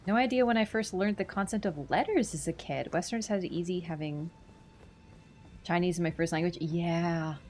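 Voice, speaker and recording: A woman's voice: fairly low pitch, moderate pace, darkish tone, soft background music. Chatty woman streaming a game. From Poland. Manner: chatty, answers chat, laughs easily. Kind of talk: casual gaming livestream chat.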